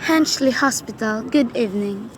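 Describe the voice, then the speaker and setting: Woman playing a high-voiced, formal hospital receptionist. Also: a little hiss or hum, natural voice, rough recording. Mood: formal, composed. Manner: measured, officious politeness. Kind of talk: radio play acting.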